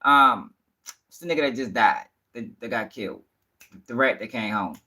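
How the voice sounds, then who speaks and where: A man's voice: medium pitch, moderate pace, bright, forceful tone. A man hosting a casual livestream, commenting bluntly in slang with swearing and repeating himself for emphasis. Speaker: host.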